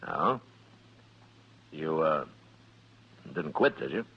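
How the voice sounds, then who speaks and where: An actor playing a steady, weary frontier marshal in a radio Western, with a measured, probing, laconic manner. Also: male voice, a bass voice, creaky, husky, exaggerated voice. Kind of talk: 1950s radio Western acting with first-person narration. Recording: dull vintage radio recording with music.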